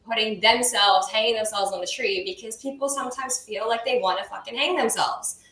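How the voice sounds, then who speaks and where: Bright-voiced woman co-host, rambles through casual chat; roomy echo. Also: on the high side, brisk. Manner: rambling, heavy on fillers.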